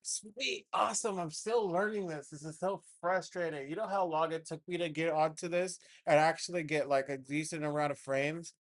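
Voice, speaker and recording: A man's voice: a little high, steady tone, moderate pace, hardly any pauses, no echo. A young man chatting casually as he sets up a stream at home, rambling and hesitant with lots of fillers, speaking into a desktop mic. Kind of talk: casual chat while setting up a stream.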